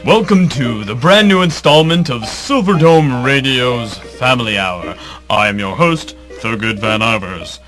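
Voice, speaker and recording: A man's voice: medium pitch, animated, unhurried, fairly bright tone. Man as a pompous radio host over music. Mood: grandiose. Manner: pompous, dramatic. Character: grandiose, theatrical male radio show host. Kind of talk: old-time family radio hour announcing.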